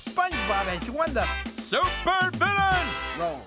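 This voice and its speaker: A man's voice: very high voice, very animated, over loud music, full character voice. A man voicing a slick, frantic cartoon casting director with a theatrical, easily exasperated manner, for a video game. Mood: nervous, deferential. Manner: theatrical, exasperated, fast-switching boss.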